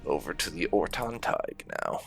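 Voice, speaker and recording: A man's voice: deep voice, big pitch swings, normal speed, creaky. A man giving casual commentary on his video game playthrough. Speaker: gaming video host. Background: game music.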